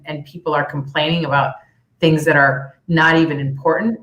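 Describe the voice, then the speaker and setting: A woman's voice: sits low, fairly bright tone. A woman who grew up partly in Peru, a nonprofit leader speaking earnestly and reflectively on a video call panel, with many restarts. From United States, raised partly in Peru. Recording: echoey room on a video call.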